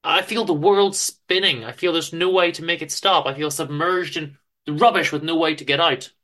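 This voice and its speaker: A man's voice: on the high side, steady tone, moderate pace, bright, forceful tone. A man voicing a gloomy, principled, rebellious office worker in a dramatic story reading, earnest and wordy. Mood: despairing.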